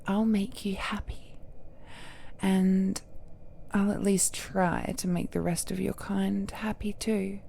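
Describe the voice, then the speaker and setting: An ancient cursed villainess, acted by a woman, speaks slowly and intimately, slipping toward a whisper. Her voice is dark and soft with some gravel in it, possessive and brooding, as in a romantic audio drama. A faint noise sits behind her. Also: medium pitch, level tone. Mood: adoring, possessive. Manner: breathy, slow, half-whispered, dramatic pauses, soft sighs and chuckles.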